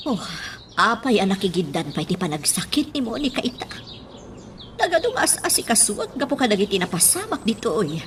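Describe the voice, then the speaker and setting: A woman's voice: mid-range voice, sing-song, brisk, slight background noise, close to an everyday voice. A woman with an Ilocano accent playing an anxious, protective mother and lady of the house in a radio drama. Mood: alarmed, worried.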